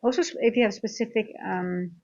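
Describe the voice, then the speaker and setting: A woman with a Dutch accent hosts a casual livestream, speaking conversationally to her viewers. Her voice sits at a medium pitch for a woman. The audio is dull and lo-fi, lacking some detail. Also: brisk, darkish tone. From Netherlands. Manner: informal, conversational, addressing chat directly. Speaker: livestream host, trading coach.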